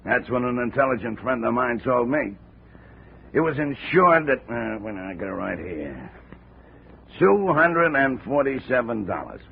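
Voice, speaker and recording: Chatty insurance man, gravelly, lively voice, tinny radio. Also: medium pitch, a little slow, a little hiss or hum. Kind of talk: 1950 radio drama acting. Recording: tinny old radio broadcast.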